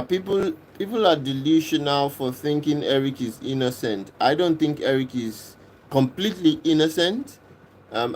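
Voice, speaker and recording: A man from Kenya hosting a livestream, reading and answering viewers' comments in a casual, unhurried way and often repeating himself. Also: a little high, slight background noise. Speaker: livestream host reading chat. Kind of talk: casual live chat.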